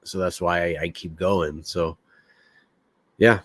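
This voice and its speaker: Candid low-voiced podcast host. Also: male voice, steady tone, slow, no echo. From Quebec, Canada. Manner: rambling, candid, loose with fillers. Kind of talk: casual solo podcast talk.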